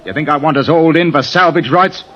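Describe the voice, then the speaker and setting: An actor playing a ruthless, terse ship's officer turned murderer in a 1950s radio drama. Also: male voice, on the high side, fast talker. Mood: urgent, commanding. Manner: terse, commanding, cold. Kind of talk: 1950s radio drama acting, first-person narration. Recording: muffled vintage radio broadcast, loud music.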